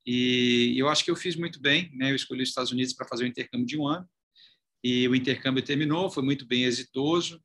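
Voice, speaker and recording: A man's voice: medium pitch, fast talker, a bit bright. A man from Salvador, Brazil, in his forties, a chamber of commerce president telling his career story in an interview, articulate with frequent fillers. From Brazil (Salvador, Bahia). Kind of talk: conversational interview.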